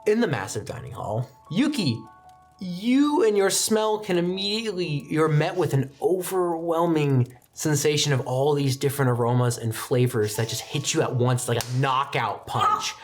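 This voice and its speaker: A man's voice: a little high, lively intonation, normal speed, faint music behind. A man running a tabletop game, narrating dramatically with casual, improvised asides. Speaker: game master.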